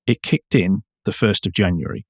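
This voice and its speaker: Man, low-pitched voice, podcast co-host, conversational analysis, close dry recording. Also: moderate pace, darkish tone, husky, no room sound.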